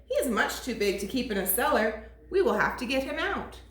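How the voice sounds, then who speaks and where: A woman voicing a brisk, matter-of-fact male policeman. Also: on the high side, sing-song, echoey room.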